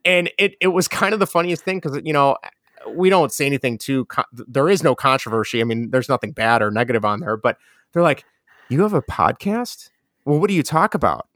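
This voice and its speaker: A mellow man with a strong Chicago accent chats as a podcast guest, rambling and restarting his sentences. His voice sits in a middle pitch. The recording is dry, with almost no room sound. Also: lively intonation, a little fast, dry recording. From Chicago area. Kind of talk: casual conversational interview.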